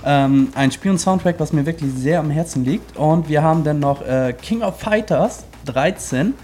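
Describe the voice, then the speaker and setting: A man's voice: on the high side, normal speed, darkish tone, a little hiss or hum. Man, keen chat about games. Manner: enthusiastic, hesitant fillers. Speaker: guest co-host, studio editor.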